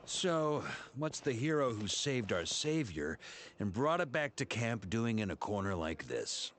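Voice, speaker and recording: An actor playing a seasoned expedition commander in a fantasy game, wry, commanding and philosophical, with folksy turns of phrase. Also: male voice, mid-range voice, big pitch swings, a little slow, fairly bright tone.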